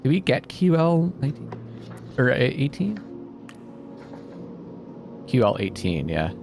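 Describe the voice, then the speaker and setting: A man narrates his playthrough casually, musing aloud and reading out on-screen text. His mid-range voice moves around a lot in pitch, with game music clearly playing behind him. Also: big pitch swings, a little slow. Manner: thinks out loud, muses. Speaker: video game player and host. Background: atmospheric game music.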